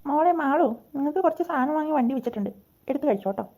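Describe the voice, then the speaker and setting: A performer voicing a kindly old grandmother in a cartoon comedy, gentle and indulgent, with faint noise and clicks. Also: high voice, animated, very fast, pitched below the performer's own voice. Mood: doting.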